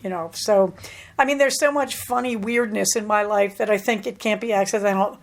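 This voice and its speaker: A woman's voice: medium pitch, normal speed, vocal fry. A middle-aged American woman hosting a podcast, talking in a chatty, rambling way with plenty of asides. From United States. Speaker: podcast host. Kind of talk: casual solo podcast chat.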